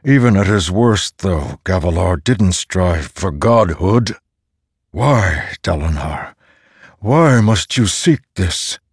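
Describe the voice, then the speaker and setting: A man's voice: low voice, animated, takes it slowly, slightly rough, no room sound, studio quality, full character voice. A man voicing an ancient godlike storm spirit in a fantasy audiobook, stern, imperious and accusatory. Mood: disappointed, reproachful. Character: thundering, ancient, godlike storm spirit, male, domineering and disapproving. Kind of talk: dramatic fantasy audiobook character.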